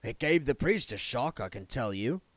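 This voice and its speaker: A man's voice: mid-range voice, very animated, normal speed, dry recording. Man doing a gloomy, grumbling older vampire husband. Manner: gloomy, blunt, colloquial.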